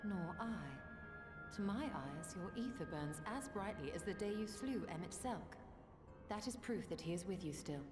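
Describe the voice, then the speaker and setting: An actress playing a serious, calm and learned sorceress in a fantasy game, with formal, measured speech. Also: female voice, on the low side. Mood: serious, certain. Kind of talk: scripted fantasy game voice acting. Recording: roomy echo, game music behind.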